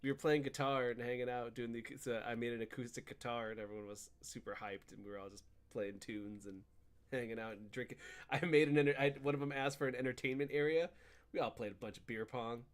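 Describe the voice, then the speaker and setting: Male podcast co-host, mid pitch, informal and slangy, loose storytelling that slips into quoted dialogue. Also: fairly even intonation, fast talker, clear, resonant tone. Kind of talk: casual chat about video games.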